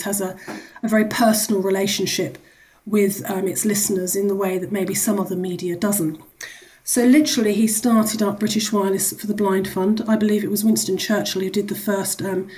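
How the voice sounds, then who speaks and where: A woman's voice: mid-range voice, a little mellow, low-quality recording. A woman speaking as a charity executive in a radio interview, explaining the work in a conversational, wordy way with frequent asides.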